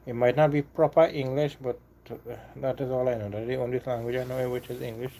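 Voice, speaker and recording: A man's voice: medium pitch, fairly even intonation, gravelly, dark tone. Trinidadian man chatting on a live stream. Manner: halting, repeats himself, apologetic then defiant. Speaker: livestream host. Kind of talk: casual live stream chat.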